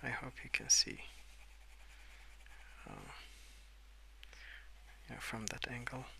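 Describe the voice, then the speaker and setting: A young adult man softly rambling in a breathy half-whisper for ASMR, relaxed and unhurried, close to the microphone. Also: mid-range voice, a little hiss or hum, poor audio. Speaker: ASMR video creator. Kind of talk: soft-spoken ASMR rambling while colouring.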